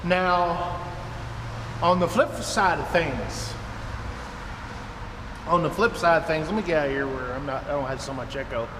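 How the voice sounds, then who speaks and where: A man's voice: fairly high pitch, a little slow, pauses often. An American man in his thirties, a trucker talking casually to camera in a rambling, folksy way. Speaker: trucker and video blogger. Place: inside an empty truck trailer, door open. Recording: echoey metal trailer, clear background noise.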